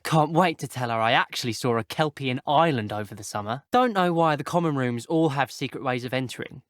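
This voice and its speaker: A man acts as a teenage British schoolboy wizard from a video game, wry and full of opinions. His delivery is conversational and slightly posh, with expressive phrasing. The pitch is medium and the recording is close and dry. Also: fairly quick, dry recording, lightly acted. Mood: wry, chatty. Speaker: game voice actor. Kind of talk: scripted video game character barks.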